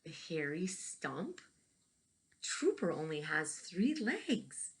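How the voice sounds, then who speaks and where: A woman reading a picture book aloud to children, pausing to explain and ask them questions. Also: sits low, big pitch swings. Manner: questioning, explains to young listeners. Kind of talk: read-aloud storytelling with asides and questions to children.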